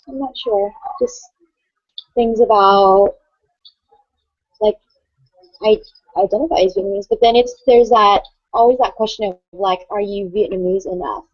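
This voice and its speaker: A woman from California speaking hesitantly, with many pauses and fillers, in a casual online group discussion. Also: medium pitch, no room sound. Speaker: student affairs professional. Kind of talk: casual video-call discussion. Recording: dull lo-fi call audio.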